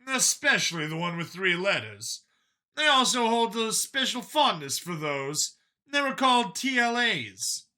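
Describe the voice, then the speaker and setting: Guy doing an excitable defensive soldier dude in a story, high bright voice, really emphatic. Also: a little slow, exaggerated voice. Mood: curious, amused. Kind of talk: audiobook character dialogue.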